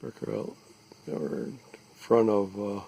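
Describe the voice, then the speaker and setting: A man giving a halting, deliberate, matter-of-fact informal field narration outdoors, recorded on a handheld device. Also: on the low side, creaky, dark tone, dry recording, rough recording. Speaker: lake resident surveying an algae bloom. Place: outdoors, out on a small lake. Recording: faint outdoor background noise.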